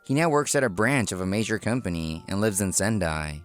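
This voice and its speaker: Man, lowish pitch, animated intonation, scripted first-person narration, manga story, music behind. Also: fairly quick. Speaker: narrator and lead character.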